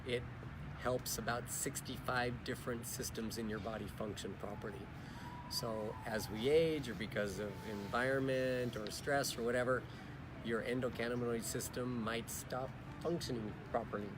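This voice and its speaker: A hoarse middle-aged man, his voice worn out, explaining things plainly and informally as a company's chief scientific advisor in an interview at a convention. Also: mid-range voice, fairly even intonation, normal speed, noisy background. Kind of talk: casual explanatory interview answers. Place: busy convention venue. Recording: loud music playing behind. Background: music.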